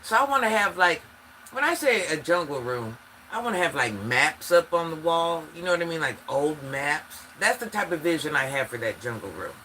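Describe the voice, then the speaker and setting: Older woman, deep and forceful voice, stuffy from allergies, chatty livestream host. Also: very animated, moderate pace, a little hiss or hum, low-quality recording. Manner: chatty, talks straight to viewers. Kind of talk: casual livestream chat.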